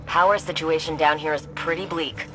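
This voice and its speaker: An actress playing a studious, casual mission guide in a video game, with a wry, encouraging manner. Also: female voice, deep voice, fairly even intonation, normal speed, over loud music, lightly acted, rough recording. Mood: focused, wry. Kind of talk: scripted video game dialogue.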